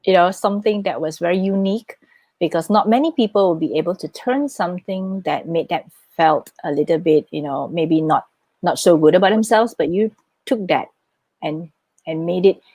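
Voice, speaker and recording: A woman with a low voice hosts an interview, chatty and encouraging as she questions her guest. The sound is dry and close, with almost no room around it. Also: normal speed, warm tone, dry recording. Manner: chatty, encouraging, laughs easily. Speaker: host. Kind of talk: live-streamed interview.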